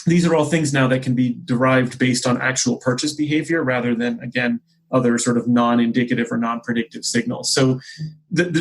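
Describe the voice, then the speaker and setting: A man with a somewhat husky voice at an average pitch explains business ideas. He is conversational but measured, pausing mid-phrase and rewording his points as he goes. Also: low-quality recording. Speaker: company executive, interview guest.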